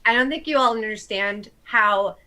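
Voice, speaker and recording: A woman's voice: fairly high pitch, moderate pace, bright, forceful tone. A woman cosplayer chatting casually and self-mockingly on a livestream video call. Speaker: cosplayer panelist. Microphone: video call mic.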